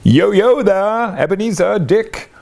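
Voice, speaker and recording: A man acts out a hearty old storybook merchant, rich and oily of voice. He pitches it high and swings it freely as he calls out with jolly exuberance. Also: expressive, slow, gravelly, darkish tone, full character voice. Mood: jovial, hearty. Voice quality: oily, rich, fat, comfortable voice. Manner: exuberant calling out. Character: jovial, hearty old gentleman merchant and master.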